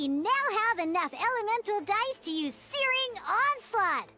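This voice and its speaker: Peppy childlike tutorial mascot for a video game: piercingly high, animated melody, eager and chirpy. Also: female voice, full character voice. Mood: excited. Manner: eager, chirpy, expressive exclamations. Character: peppy young cartoon game mascot guiding a card tutorial.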